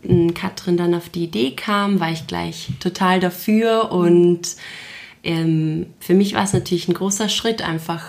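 A woman in her twenties with an Austrian accent from Carinthia, a guest chatting softly and hesitantly in an interview. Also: lowish voice, steady tone, unhurried, vocal fry. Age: 20s. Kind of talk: casual interview chat. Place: cozy living room.

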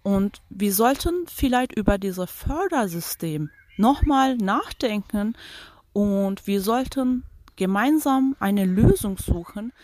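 Slow, earnest woman. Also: medium pitch, lively intonation, darkish tone, no echo. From Turkey. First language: Turkish. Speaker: film director. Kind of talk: spoken statement on film funding.

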